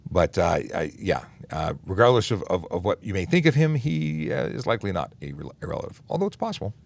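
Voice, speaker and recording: Deep-voiced, gravelly police officer. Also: male voice, fairly quick, no room sound. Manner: relaxed, many "uh" fillers and restarts. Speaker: police officer hosting a traffic Q&A livestream. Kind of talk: casual livestream Q&A, reading and answering viewer questions.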